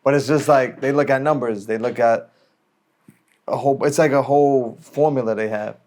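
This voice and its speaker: A man's voice: medium pitch, steady tone, moderate pace, a little mellow. An American man who is a radio DJ guest, talking casually and explaining in slangy, conversational speech.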